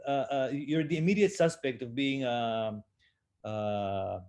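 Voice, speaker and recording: Male panelist, slow and halting, talking on a video call. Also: medium pitch, darkish tone. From Israel. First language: Hebrew. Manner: hesitant, many fillers, self-deprecating laughs. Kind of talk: roundtable discussion.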